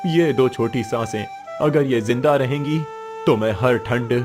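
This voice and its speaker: A man's voice: medium pitch, unhurried, over music, natural voice. A man playing a poor, protective father in a cartoon story, earnest, solemn and resolute.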